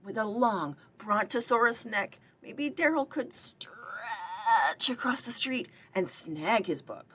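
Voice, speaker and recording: A woman's voice: medium pitch, expressive, takes it slowly, few pauses, fairly bright tone, slight background noise. A woman reading a picture book aloud for story time, expressively, with chatty asides to young listeners. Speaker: library director and former children's librarian reading aloud.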